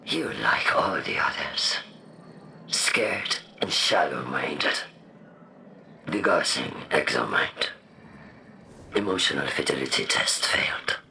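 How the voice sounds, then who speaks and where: A woman playing an obsessed, imperious scientist villain in a video game, speaking in a theatrical, condescending manner with a low, creaky, breathy voice. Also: very animated, slow pace, long pauses, a little hiss or hum, full character voice. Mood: cold disappointment. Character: obsessed, imperious female scientist turned coldly menacing villain. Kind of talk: dramatic video game acting. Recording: loud music under the voice. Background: loud dramatic game music.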